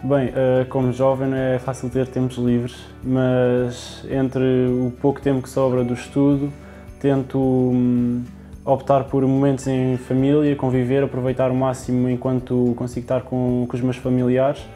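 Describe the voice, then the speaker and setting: An eighteen-year-old man with a European Portuguese accent, speaking in an earnest, measured way. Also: medium pitch, completely flat delivery, mellow, soft background music. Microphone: lapel mic.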